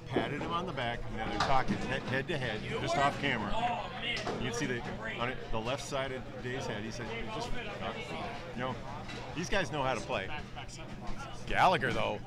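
Excitable male bowling commentator, noisy alley. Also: medium pitch. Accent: New England. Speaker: play-by-play commentator. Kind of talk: live sports commentary. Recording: loud crowd of bowlers behind.

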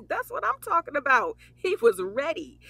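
An actress playing a brash, bawdy but loyal young best friend, blunt and teasing, in an audio drama. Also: female voice, high voice, very animated, normal speed, vocal fry, bright, forceful tone, no room sound, faint music behind, full character voice. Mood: fired up. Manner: sassy, blunt, foul-mouthed, teasing. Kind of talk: casual conversational audio drama.

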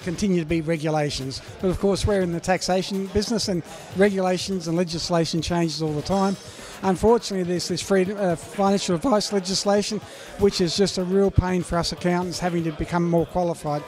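Quick, high-voiced older man. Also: steady tone, a little mellow. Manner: brisk, emphatic. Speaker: accountant. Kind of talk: vox pop interview answer. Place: indoor function room. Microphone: handheld interviewer mic. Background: faint background music.

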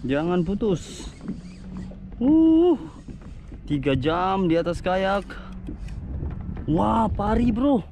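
Young man, excitable fishing vlogger, slow-spoken, outdoor wind and water noise. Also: high-pitched. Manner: excitable, groaning and exclaiming while reeling in. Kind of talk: casual first-person fishing vlog. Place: outdoors on a kayak at sea. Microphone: action camera on the kayak.

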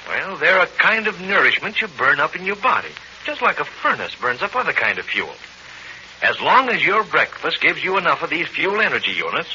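A man's voice: high voice, sharp tone, heavily acted. An American man announcing on 1940s radio with a projected, hearty, emphatic scripted delivery. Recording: muffled noisy 1940s radio broadcast.

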